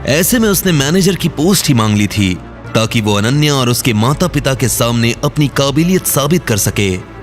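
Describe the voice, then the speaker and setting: A man's voice: mid-range voice, lively intonation, moderate pace, soft background music. A man reading scripted narration as the storyteller of an audio drama.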